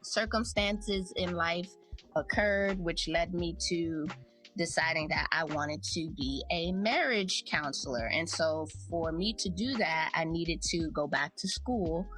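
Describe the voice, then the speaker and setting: A woman with a notably low voice, a podcast host in her thirties, walks through her own background. She tells it in a measured, reflective way. Faint music plays underneath. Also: fairly bright tone. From California. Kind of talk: scripted-sounding personal introduction.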